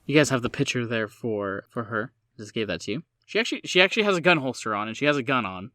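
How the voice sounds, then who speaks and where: A man runs a tabletop game with improvised narration. His voice is mid-pitched and his delivery informal and conversational. The sound is dry. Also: expressive, fast talker, sharp tone, no echo. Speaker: game master.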